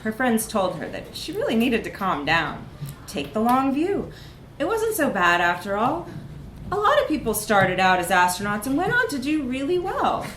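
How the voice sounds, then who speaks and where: A woman's voice: fairly high pitch, big pitch swings, moderate pace, fairly bright tone, roomy sound, a little hiss or hum. A woman reads a comic short story aloud at a live event, with wry, emphatic narration. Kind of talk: animated literary narration, live reading.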